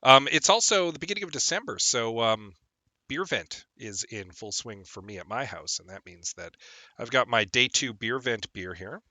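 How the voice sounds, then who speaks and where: A man's voice: medium pitch, expressive, normal speed, pushed and bright, no echo. A young man chatting casually while streaming a game, rambling with lots of ums, a bit phlegmy from a lingering cold. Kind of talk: casual gameplay stream chat.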